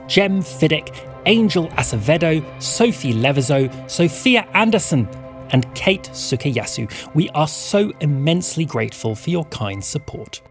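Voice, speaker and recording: Male presenter, highish voice, lively intonation, scripted podcast announcements, music underneath.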